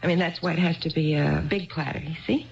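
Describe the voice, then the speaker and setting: Neglected housewife in muffled old radio drama. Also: female voice, low-pitched, steady tone, gravelly. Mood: eager, explaining. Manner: chatty, halting, seeking approval. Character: neglected, nervous housewife confessing, bitterly hurt. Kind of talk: 1970s radio drama acting. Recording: muffled vintage radio broadcast recording.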